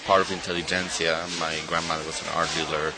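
A middle-aged man from Argentina, a guest artist in a radio interview, speaking thoughtfully with frequent pauses and fillers. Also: low-pitched, level tone, a loud crowd. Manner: thoughtful, hesitant with many fillers.

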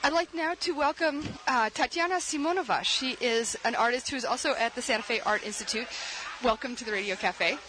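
A woman hosts a radio interview in a slightly high voice, asking loose, rambling questions with frequent restarts. A steady hiss sits behind her voice. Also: fairly quick, few pauses. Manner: chatty, searching for words with many restarts. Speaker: radio show host.

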